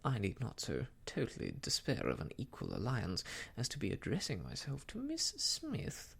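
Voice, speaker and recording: A man voicing an ardent, vain young gentleman in a classic novel audiobook, effusive, protesting and self-important. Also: medium pitch, huge pitch swings, moderate pace, gravelly, breathy edge, heavily acted. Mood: affronted, haughty.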